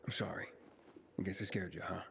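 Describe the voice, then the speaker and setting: A man's voice: low-pitched, steady tone, fairly quick, gravelly, fairly bright tone, a little hiss or hum. An actor playing a guarded, determined young male pilgrim in a video game, speaking in terse, understated questions. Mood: apologetic, curious. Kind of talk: video game cutscene acting. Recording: game music behind the voice.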